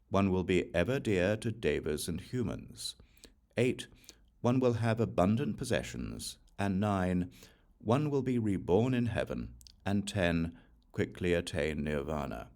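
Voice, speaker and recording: Guy with a deep voice doing an audiobook of scripture, really slow and measured, pauses between each point. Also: sing-song, a little mellow. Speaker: audiobook reader.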